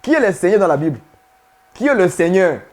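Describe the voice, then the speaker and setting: Male preacher with a high voice; insistent, repetitive teaching style, asks questions. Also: sing-song, brisk, darkish tone. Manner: emphatic, repetitive, questions the listeners. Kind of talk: sermon teaching to viewers.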